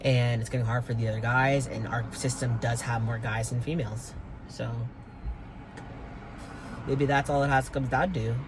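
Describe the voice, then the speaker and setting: A young man sits in his car and talks into his phone. He rambles and hesitates, fills his pauses with "um" and "like", and swears casually. Car noise hums clearly behind his mid-pitched voice. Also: fairly even intonation. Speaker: vlogger. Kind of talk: rambling personal vlog. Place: inside a car.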